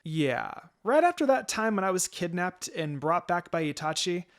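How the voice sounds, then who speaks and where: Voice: medium pitch, very animated, normal speed. A narrator reading a fan fiction story aloud in a storytelling style, acting out the dialogue. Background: background music.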